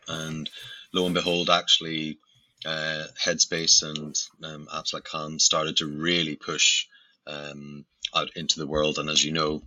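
A middle-aged man with a Northern Irish accent, speaking as a podcast guest in a hesitant way, filling pauses with "uh" and restarting his sentences. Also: a bass voice, pushed and bright, dry recording. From Northern Ireland. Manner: hesitant, many fillers and restarts. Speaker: guest, company founder. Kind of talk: podcast interview.

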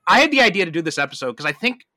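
A man's voice: high-pitched, big pitch swings, rapid-fire, bright, forceful tone, dry recording, studio quality. A man hosting a podcast, talking casually about films and shows. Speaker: podcast host. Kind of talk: casual film discussion.

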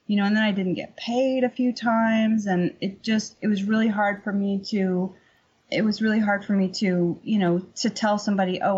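A middle-aged woman with a husky voice, talking candidly and informally as an interview guest, rambling a little. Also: mid-range voice, darkish tone, lo-fi sound. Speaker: painter, podcast guest. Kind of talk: casual interview chat.

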